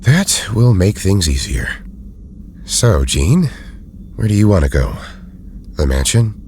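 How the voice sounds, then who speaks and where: An actor playing an erudite, weary but loyal scientist in an audio drama, speaking in formal, deliberate phrases. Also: male voice, sits low, sing-song, darkish tone, slight background noise, exaggerated voice. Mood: polite, careful.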